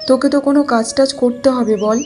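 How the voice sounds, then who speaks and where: A woman's voice: fairly high pitch, steady tone, quick pace, a little mellow, soft background music, lightly acted. A woman playing a poor, elderly village mother in a cartoon, speaking in a plaintive, affectionate nagging way. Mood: pleading, worried. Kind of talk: Bengali cartoon story dialogue.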